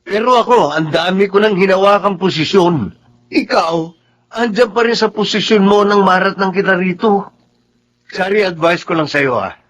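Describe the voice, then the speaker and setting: Casting: Filipino male office employee, higher-pitched voice, emotional radio-drama delivery. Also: normal speed, fairly bright tone. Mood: smug. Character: boastful male office worker, later shaken and remorseful.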